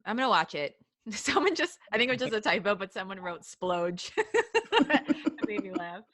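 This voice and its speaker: A woman's voice: mid-range voice, animated, moderate pace, a bit bright. A woman from Detroit co-hosting a live podcast, chatting casually with bawdy jokes and frequent laughter. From Detroit, USA. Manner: giggly, bawdy banter. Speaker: podcast co-host.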